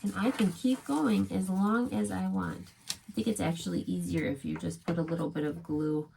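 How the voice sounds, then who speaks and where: A woman's voice: lowish voice, steady tone, moderate pace, gravelly, mellow, a little hiss or hum. A woman teaching a craft step by step to young children, speaking as a preschool teacher in simple, encouraging phrases.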